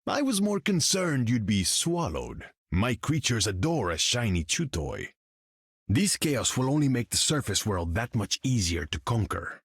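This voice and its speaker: A man's voice: medium pitch, very animated, unhurried, husky, no echo, clean studio sound, heavily acted. An actor playing a proud, imperious undersea king in a video game, speaking in a pompous, formal way. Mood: superior, mocking. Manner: pompous, grandiose, formal speech. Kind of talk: video game character dialogue.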